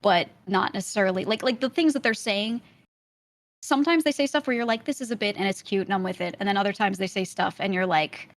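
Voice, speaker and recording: A woman's voice: medium pitch, fast talker. A young woman with a light Brooklyn accent, chatting casually as a podcast guest. She laughs often and fills her talk with "like" and "you know.". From Brooklyn, New York.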